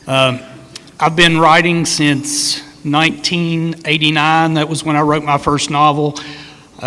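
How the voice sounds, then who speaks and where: A man moderating a conference panel, relaxed and joking, with frequent fillers. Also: a little high. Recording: echoey hall with long reverb.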